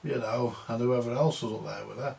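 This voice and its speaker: A man's voice: medium pitch, fairly even intonation, fairly quick, vocal fry, darkish tone. An older man who repairs vintage audio gear, rambling and grumbling in a casual monologue, repeating himself and swearing now and then.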